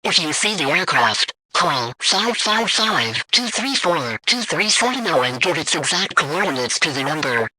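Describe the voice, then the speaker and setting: A man reading a scripted emergency broadcast announcement in a formal, flat manner. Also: fairly high pitch, moderate pace, few pauses, breathy edge, dry recording, low-quality recording.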